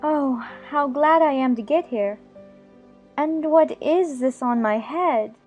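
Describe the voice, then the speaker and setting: A woman plays a curious, well-mannered storybook girl. Her voice is high and girlish, on a slightly dull recording with faint music behind it. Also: takes it slowly. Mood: excited, wondering. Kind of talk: dramatized audiobook acting.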